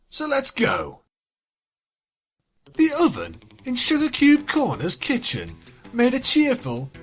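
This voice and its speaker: Theatrical woman storyteller, very animated. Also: fairly high pitch, husky. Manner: theatrical, wry. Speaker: fan fiction reader, narrator. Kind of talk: dramatic scripted story narration. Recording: music behind the voice.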